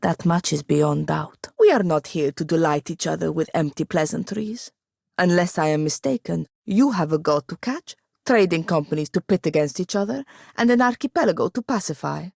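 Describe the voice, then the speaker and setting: A proud young woman wizard from a fantasy video game. Her voice sits low and has a gravelly creak. She speaks in formal phrases with a dry, sardonic edge, in a very dry, close recording. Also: animated, moderate pace, darkish tone, no echo. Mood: reflective, then wry, brisk. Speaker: game companion character. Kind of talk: scripted video game dialogue.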